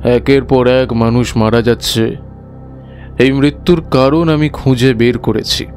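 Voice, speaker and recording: Casting: man as earnest cartoon village schoolmaster, slow emphatic explainer, mid-pitched, faint music underneath. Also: darkish tone, close to an everyday voice. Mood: grave warning. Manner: emphatic, explanatory, repeating himself. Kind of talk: dramatized animated horror story.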